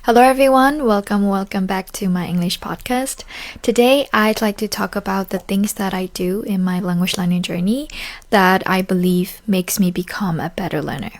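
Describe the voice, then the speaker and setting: A young woman with a mid-range voice hosts a friendly podcast for learners. She speaks clearly and unhurriedly, with an occasional filler or restart along the way. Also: medium pitch, moderate pace. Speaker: podcast host and graduate student. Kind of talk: casual chat, then a solo talk for learners.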